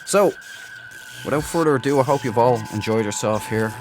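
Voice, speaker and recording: A man giving casual commentary as a let's play host, excitable and prone to repeating himself. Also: medium pitch, moderate pace, noisy background.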